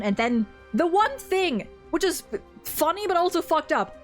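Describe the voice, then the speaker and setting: A woman chatting casually as an enthusiastic fan, effusive and sweary, often stammering over repeated words. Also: high voice. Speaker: streamer co-hosting a video game story ranking. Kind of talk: casual animated fan discussion.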